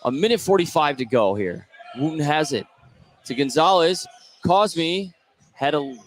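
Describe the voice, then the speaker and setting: A man's voice: on the high side, animated, measured pace. A man calling a basketball game live as the play-by-play announcer on a radio broadcast. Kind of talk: live sports radio commentary.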